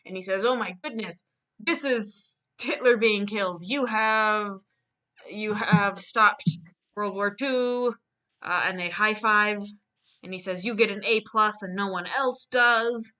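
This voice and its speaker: A woman's voice: mid-range voice, measured pace, bright, forceful tone. A woman chatting casually as she hosts and retells a silly story, playfully acting out the quoted lines. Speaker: host of a live online dramatic reading and drawing stream.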